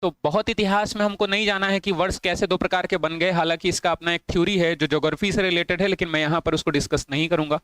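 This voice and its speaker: A man's voice: sits high, steady tone, fast talker, few pauses, fairly bright tone, clear, resonant tone, dry recording. A man teaching an online exam-prep lesson, repeating points and asking and answering his own questions, with English phrases mixed into his Hindi.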